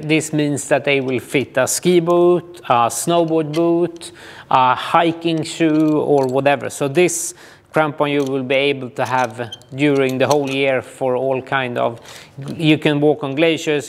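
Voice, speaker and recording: A man, informally explaining outdoor gear to camera in a hesitant, halting way. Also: on the high side. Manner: hesitant, halting delivery with frequent pauses. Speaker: ski touring guide and instructor. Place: small indoor gear shop room.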